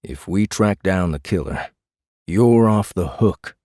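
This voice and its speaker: An actor playing a steady, probing renegade space marshal, protective and dryly teasing, speaking in a measured, coaxing way in audiobook drama dialogue. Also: male voice, low-pitched, no room sound, clean studio sound.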